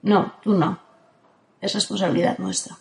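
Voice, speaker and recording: A woman playing a calm ageless guardian, roomy echo. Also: medium pitch, normal speed, gravelly, lightly acted. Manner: calm, steady, firm. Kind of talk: audio drama acting.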